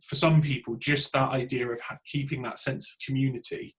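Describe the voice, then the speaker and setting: A man with a British accent hosting a casual group discussion, speaking in a halting, hesitant way. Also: medium pitch, steady tone. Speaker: podcast host.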